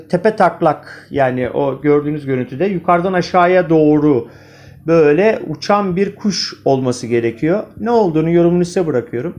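A man's voice: on the high side, darkish tone. A man presenting informal video commentary, speaking directly to viewers in an opinionated, questioning way. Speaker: video host, amateur presenter.

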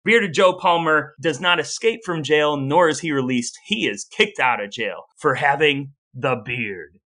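Bright-voiced American man telling stories. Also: a little high, unhurried. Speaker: podcast co-host. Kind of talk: casual podcast storytelling and chat.

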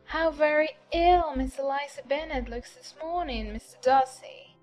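Sneering, jealous young society lady from a storybook reading, high and mock-polite, soft music beneath, as a female narrator puts her on. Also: measured pace.

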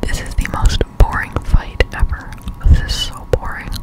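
A young woman whispering casual gameplay chat in an ASMR style, slow and halting, close to a desktop microphone at home. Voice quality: breathy unvoiced whisper. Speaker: gaming ASMR streamer. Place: indoors in a room at home. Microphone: close-up desktop condenser microphone.

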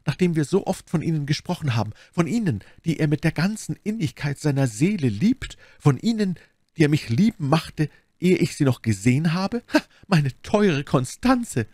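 A man's voice: on the high side, very animated, normal speed, darkish tone, no echo, clean studio sound, voice pushed up. A man voicing a scheming, effusive noblewoman in a dramatic audiobook. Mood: passionate, reproachful. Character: scheming adult noblewoman, false, intense, gushing.

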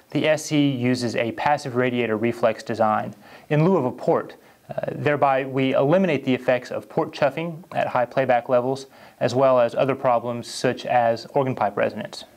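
A man in his thirties giving a measured, formal, articulate scripted product presentation as a company representative. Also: medium pitch, a little mellow. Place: carpeted indoor listening room with acoustic panels, seated.

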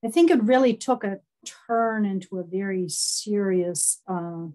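A woman's voice: medium pitch, a little slow. Woman on a headset call. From East Germany. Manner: warm storytelling, frequent laughs and fillers. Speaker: guest, horse body-work therapist. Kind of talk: video call interview. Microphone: headset on video call.

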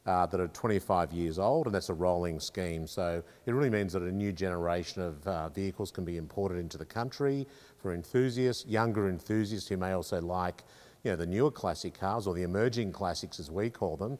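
A man's voice: low voice, a little fast, darkish tone. An Australian man in his fifties explaining something to camera as a company representative. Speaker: car insurance company representative and enthusiast. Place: large indoor car storage garage. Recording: echoey hall-like reverb.

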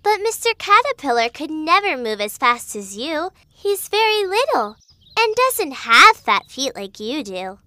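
A childlike cartoon animal pal. Very high and bright, frank in manner, with a hugely lively melody. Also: female voice, a little slow, no room sound, studio quality, exaggerated voice. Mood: chiding.

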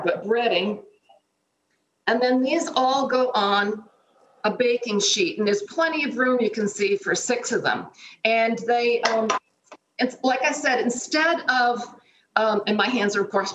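A woman's voice: on the high side, fairly bright tone. A middle-aged woman giving a chatty, step-by-step cooking demonstration from her home kitchen over a remote video feed. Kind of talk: cooking demonstration on a morning show. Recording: roomy kitchen echo, faint noise.

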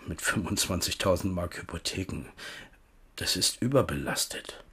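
An actor playing a plain-spoken rural landlord in a radio play, blunt and matter-of-fact. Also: male voice, low-pitched, expressive, moderate pace, pushed and bright, breathy edge, full character voice. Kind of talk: 1970s German radio play acting.

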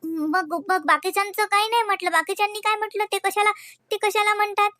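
A performer playing a bitter, quarrelsome young wife and mother, who complains rapidly and repeats herself. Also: very high voice, fairly even intonation, bright, forceful tone, dry recording, studio quality. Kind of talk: homemade family skit.

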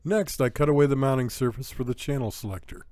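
A man's voice: medium pitch, fast, a little mellow, no room sound, studio quality. A man reading scripted technical narration as an electronics tutorial narrator, in a methodical, matter-of-fact way.

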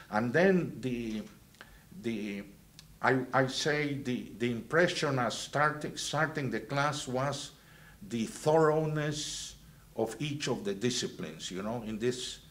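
A man, from Venezuela and raised in Guatemala, recalling his student years in a halting way with many fillers and restarts. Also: medium pitch, a bit bright. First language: Spanish. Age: 70s. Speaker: alumnus interviewee. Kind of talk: reminiscing interview. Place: indoors, plain backdrop.

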